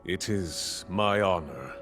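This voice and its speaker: A man's voice: sits low, takes it slowly. An actor playing a menacing desert warlord king in a game cutscene, sly and silky, with courtly words over veiled menace. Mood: feigned deference. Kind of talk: dramatic video game cutscene acting. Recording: orchestral game music behind the voice.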